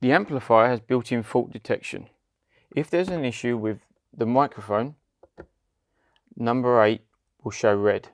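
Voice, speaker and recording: A British man presents a scripted product tutorial in a mid-range voice with a gravelly edge. His phrasing is measured and deliberate, moving step by step. The sound is dry, with very little room in it. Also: mid-range voice, no echo. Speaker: product demonstrator. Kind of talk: scripted step-by-step instructional narration.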